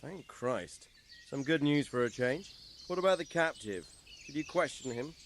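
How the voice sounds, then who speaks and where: A man playing a brisk, inquisitive and authoritative medieval lord in a video game. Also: medium pitch, expressive, a little hiss or hum, lightly acted. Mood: concerned, inquiring. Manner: commanding, inquisitive.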